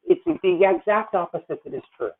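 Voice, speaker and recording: A woman's voice: mid-range voice, big pitch swings, brisk, vocal fry. A woman in her fifties coaching a singer over a video call, effusive and rambling, calling her student honey. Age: 50s. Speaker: voice teacher. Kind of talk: masterclass coaching. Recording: tinny video call audio.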